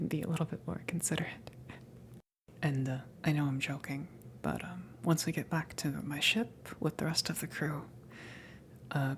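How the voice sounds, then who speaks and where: Girl doing a chill awkward alien salvager for asmr, soft whispery n halting, real slow, bit of hiss behind. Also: low-pitched, gravelly. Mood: teasing, mildly offended. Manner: hesitant, halting, casual rambling. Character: friendly big-headed, tailed alien salvage crew member, awkward, easygoing.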